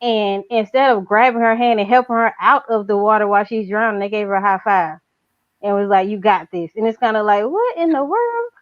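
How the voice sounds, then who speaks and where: A woman chatting casually, her sentences running on and looping through fillers. Her naturally quiet voice is pushed a little louder than usual. The sound is dull and lo-fi with a dry, close feel. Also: medium pitch, fairly quick, no echo. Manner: talks in long run-on stretches with many fillers; says her natural voice is very quiet but trains herself to speak louder. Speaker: guest. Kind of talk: casual chat.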